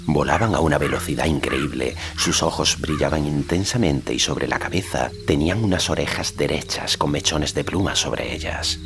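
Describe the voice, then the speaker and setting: A man narrating a fantasy audiobook in a measured storytelling manner. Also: rumbling low, gravelly, background noise. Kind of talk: scripted narration of a fantasy novel.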